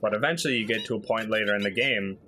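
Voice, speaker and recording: Bright-voiced man chatting through a game. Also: lowish voice, very fast, a little hiss or hum. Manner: chatty, explaining the game as a fan. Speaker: let's play host. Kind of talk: casual game commentary.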